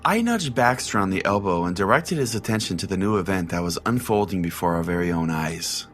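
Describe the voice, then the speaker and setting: A man's voice: sits low, animated, a little fast, soft background music. A man playing an irritable, foul-mouthed pastor who hosts a comedic call-in radio show, sarcastic and theatrical in his delivery. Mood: theatrical storytelling. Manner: exasperated, sarcastic, theatrical storytelling.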